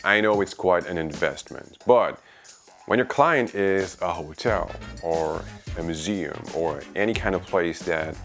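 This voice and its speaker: Male vlogger, low voice, presenting gear reviews over background music. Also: fairly bright tone. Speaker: video creator and reviewer. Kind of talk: product review vlog narration to viewers.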